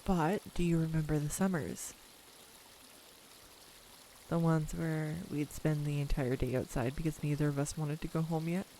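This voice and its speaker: A woman's voice: low voice, steady tone, dark tone, a little hiss or hum, natural voice, rough recording. A young woman acting a tender, nervous childhood friend come home, in an intimate, hesitant audio roleplay monologue. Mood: fond, nostalgic. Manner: hesitant, reflective, confiding, with pauses. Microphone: close USB desktop microphone.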